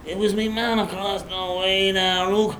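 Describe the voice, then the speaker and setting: An actor plays a cowardly, evasive street chancer with a Dublin accent. The speech is slow, colloquial and wheedling, as in a dramatic sketch. It sounds echoey, with clear noise around it. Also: mid-range voice, little pitch movement, fairly bright tone, lightly acted. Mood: pleading. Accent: Irish, Dublin. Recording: echoey, noisy recording with ambience.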